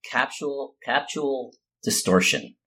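Husky, animated man hosting. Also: medium pitch, measured pace. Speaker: podcast host. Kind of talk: casual interview.

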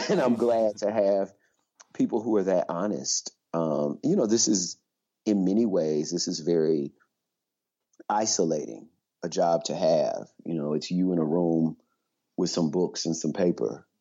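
Deep, dark-voiced man, muffled recording. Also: animated, measured pace, breathy edge. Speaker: poet interviewed on a podcast. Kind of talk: reflective conversational interview. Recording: dull lo-fi interview audio.

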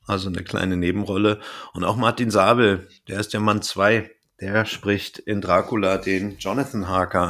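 Low-voiced man, casual podcast host. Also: a bit bright. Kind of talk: casual podcast talk, presenting a cast list.

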